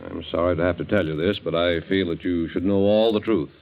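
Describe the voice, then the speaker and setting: A grave family doctor speaks in a very low, measured voice with solemn authority. The style is 1940s radio drama and the recording is dull and old. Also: male voice, fairly even intonation. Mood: grave, frank. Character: grave, frank male family doctor warning of danger.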